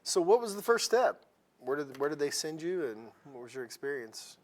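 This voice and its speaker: A man's voice: on the high side, sing-song, moderate pace, a crowd in the background. A man interviewing a guest in a chatty, friendly way, asking questions and adding asides. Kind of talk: oral history interview.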